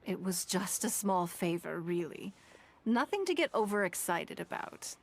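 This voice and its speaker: An actress playing a composed, kindly and shrewd elegant woman in a video game, speaking in a measured, gentle, instructive way. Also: lowish voice, big pitch swings, creaky, slight background noise, heavily acted. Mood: gentle, reassuring.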